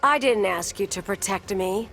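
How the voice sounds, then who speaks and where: A woman voicing a seductive, venomous villainess who rules plants in a video game, with sultry, taunting, drawn-out phrasing. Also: medium pitch, fairly bright tone, close to an everyday voice. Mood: defiant, flirty. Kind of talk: video game character dialogue.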